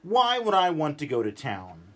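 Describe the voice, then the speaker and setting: A man voicing a cranky, stubborn, exasperated man who grumbles and rants in an animated storybook read-aloud. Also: a little high, moderate pace, voice pushed down. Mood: grumpy complaining. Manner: exasperated, ranting, emphatic.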